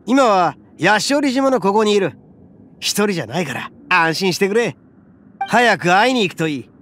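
A man voicing a boastful male oni in a game, rough, swaggering and big-hearted. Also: sits high, very animated, moderate pace, fairly bright tone, a little hiss or hum. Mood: reassuring. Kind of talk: game voice acting. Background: game music.

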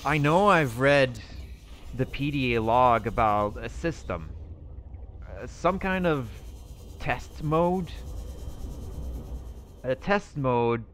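A man's voice: medium pitch, animated, slow, long pauses, fairly bright tone. A man thinking aloud in character while he plays a survival game, musing and hesitant as he goes. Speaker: roleplay gaming channel host.

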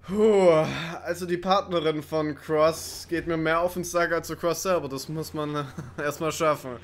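A man's voice: fairly high pitch, steady tone, measured pace, a little hiss or hum. A man giving casual let's play commentary in a wry, sarcastic, joking way.

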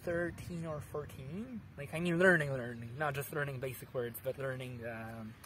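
A man in his thirties from Poland with a Polish accent, answering interview questions in a park with lively gestures and many restarts. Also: medium pitch, moderate pace, slight background noise, poor audio. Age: 30s. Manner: animated, gesturing, frequent restarts. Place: outdoors in a sunny park.

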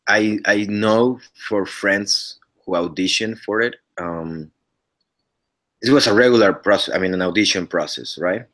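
A man's voice: fairly low pitch, unhurried, a bit bright. A man in his thirties from Mexico with a Mexican Spanish accent, speaking English as a second language. He talks earnestly on a video call from home, often restarting his sentences. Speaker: actor guest. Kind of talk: casual interview chat over video call. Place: living room at home. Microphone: webcam on a video call.

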